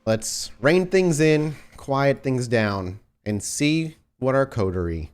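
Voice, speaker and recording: A man narrating a tabletop roleplaying game as game master, setting scenes in a measured, atmospheric way. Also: mid-range voice, animated, smooth.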